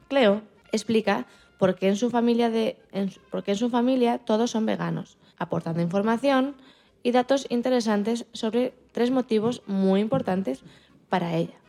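A woman's voice: on the high side, creaky, dark tone. A woman co-presenting a show, reading out a scripted explanation.

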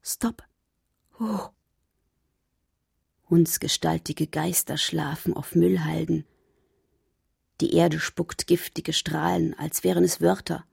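A woman reciting poetry in a radio production, solemn, with a gravelly tone that often slips towards a whisper. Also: sits low, steady tone, slow pace, long pauses, a little mellow, no room sound, clean studio sound, heavily acted. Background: music.

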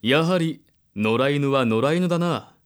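A man's voice: a little high, measured pace, smooth, natural voice. An actor playing a contemptuous man in authority in an audio drama, with a mocking, commanding manner. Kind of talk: Japanese audio drama acting.

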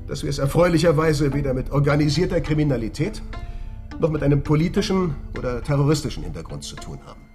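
Officious police chief. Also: male voice, on the high side, lively intonation, darkish tone, soft background music. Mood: officious, composed. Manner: formal, officious, brisk. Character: businesslike male police detective chairing a case briefing. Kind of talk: radio drama acting.

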